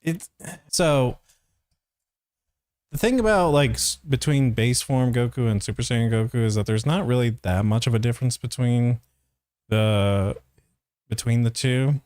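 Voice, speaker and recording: A man commentates casually, rambling and pausing as he thinks aloud. His voice is smooth and clear at an ordinary male pitch, captured close and dry on the microphone. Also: a little mellow, dry recording. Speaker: voice actor reviewing a fandub.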